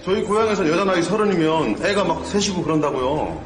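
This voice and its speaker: A man's voice: on the high side, expressive, fast talker, noisy background, natural voice. An actor playing an eager young man who boasts politely and formally that he never gets drunk.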